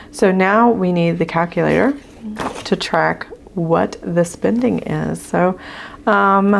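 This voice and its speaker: A woman with a low, gravelly voice that is hoarse. She chats casually through her budget sheets as a channel host and fills her pauses with ums while she writes. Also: slight background noise. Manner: chatty with frequent ums, talking while writing.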